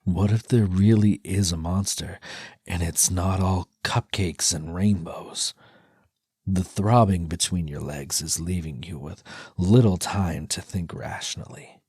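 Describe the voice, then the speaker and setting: A man narrating second-person ASMR fiction in a breathy, husky, suspenseful hush that often drops to a near whisper, close to the microphone. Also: deep voice, unhurried, dry recording. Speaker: audio storyteller, ASMR performer. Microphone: close dry microphone.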